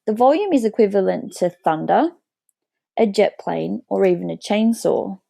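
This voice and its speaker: Gravelly-voiced woman teacher explaining. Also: medium pitch, expressive, moderate pace, warm tone, no echo. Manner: plain explanatory delivery. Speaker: biology teacher. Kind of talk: educational narration.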